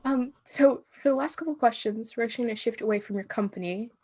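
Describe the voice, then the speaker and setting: A woman's voice: on the high side, fast, darkish tone, husky. A woman co-hosting a podcast, asking questions in a friendly way and laughing easily. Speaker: podcast co-host. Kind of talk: casual interview hosting.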